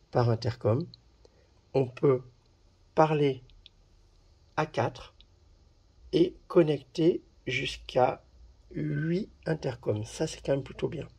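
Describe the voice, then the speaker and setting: A man presenting a product in a casual hands-on demonstration, talking haltingly with pauses as he handles things. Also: medium pitch, gravelly, darkish tone, breathy edge. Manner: halting, pausing while handling items. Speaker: video presenter reviewing a product.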